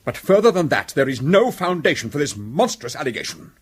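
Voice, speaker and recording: An actor playing a pompous, indignant English gentleman villain in a radio drama, formal and outraged in manner. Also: a little high, sing-song, brisk, slightly rough. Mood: haughty indignation.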